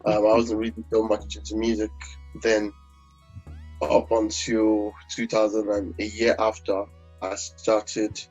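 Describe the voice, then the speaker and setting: A Nigerian man, a gospel singer, talking in a relaxed interview, rambling with many fillers and restarts. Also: on the low side, unhurried, breathy edge. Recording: dull lo-fi audio with faint music.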